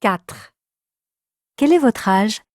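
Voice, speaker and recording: A woman's voice: on the high side, lively intonation, extremely slow, dry recording, clean studio sound. A woman reading scripted announcements for a language course with careful, didactic enunciation. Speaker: textbook audio announcer.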